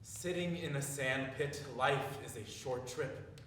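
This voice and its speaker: Casting: solemn female stage chorus, deep voice, long echo, far from the mic. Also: takes it slowly, a bit bright, slight background noise, full character voice. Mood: grave. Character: grave female chorus member of devout commune. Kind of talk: live stage play chorus.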